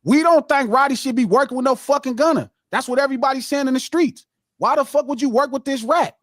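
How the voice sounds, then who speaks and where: A man's voice: extremely high pitch, fast talker, a bit bright, no echo, studio quality. A man hosting a podcast in a heated, casual debate, preaching his point emphatically and repeating himself for effect. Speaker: podcast host.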